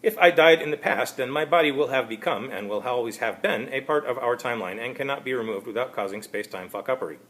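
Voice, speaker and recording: A man's voice: mid-range voice, fast, hardly any pauses, fairly bright tone, natural voice. A man reading aloud as an eccentric, oddly polite time traveler who speaks in stilted, formal wording. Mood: earnest, formal. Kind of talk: amateur storybook reading of character dialogue.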